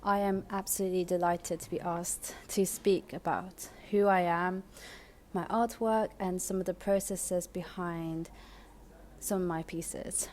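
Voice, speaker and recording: A woman's voice: lowish voice, steady tone, unhurried, a little mellow, a little hiss or hum. A young woman, born in Taiwan and raised in England, talking earnestly about her art and faith, with frequent ums and restarts. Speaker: artist.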